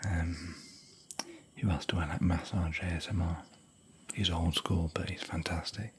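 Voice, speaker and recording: A man whispering softly in a rambling, chatty, gentle way while hosting an ASMR hotel room tour. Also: low voice, steady tone, a little slow, breathy edge. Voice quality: soft whisper.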